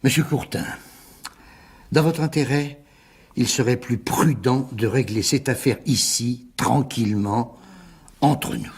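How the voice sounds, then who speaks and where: A man's voice: mid-range voice, slow, long pauses, a little mellow, husky. An actor playing a wily middle-aged businessman in a radio mystery play, cowardly at heart, unctuous, condescending and theatrical. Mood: smug, menacing. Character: wily older businessman, cowardly then smugly blackmailing.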